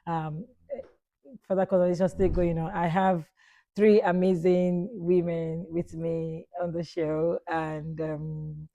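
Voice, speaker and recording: A woman hosts a relaxed panel chat in a low, mellow voice. She circles back on her words and leans on plenty of "um"s as she keeps the talk moving. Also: slow, creaky, muffled recording. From Nigeria. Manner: repetitive, hesitant with many fillers. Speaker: podcast host. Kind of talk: casual panel discussion.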